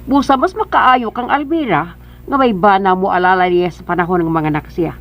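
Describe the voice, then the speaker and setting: A woman's voice: mid-range voice, brisk, fairly bright tone, natural voice. A woman acting a suspicious, insistent bride-to-be in a radio drama, with a Cebuano Filipino accent. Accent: Filipino, Cebuano-speaking. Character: suspicious, insistent bride-to-be questioning her man. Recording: dull band-limited radio drama audio.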